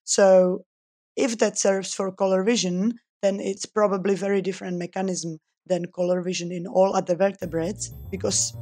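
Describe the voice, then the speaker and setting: A woman from the Republic, a research scientist giving thoughtful interview answers with frequent pauses. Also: mid-range voice, flat delivery, dark tone, no room sound, studio quality. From Czech Republic. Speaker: guest scientist.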